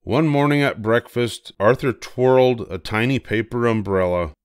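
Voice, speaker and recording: A man's voice: medium pitch, moderate pace, clean tone, dry recording, studio quality. An older man narrating a children's picture book expressively. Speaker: storybook reader.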